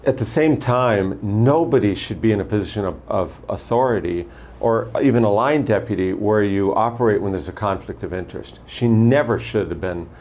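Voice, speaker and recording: An older man running for prosecutor, answering interview questions in a measured way and telling stories from his career. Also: fairly low pitch, a little mellow. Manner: measured, anecdotal, lists names and past posts. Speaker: candidate for prosecutor.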